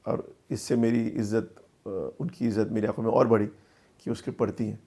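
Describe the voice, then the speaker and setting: A man giving conversational interview answers about his work as a filmmaker, speaking in a halting, thoughtful way and mixing in English phrases. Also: lowish voice, little pitch movement, warm tone, breathy edge. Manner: halting, thoughtful, with repeated words and pauses; mixes English phrases into Hindi.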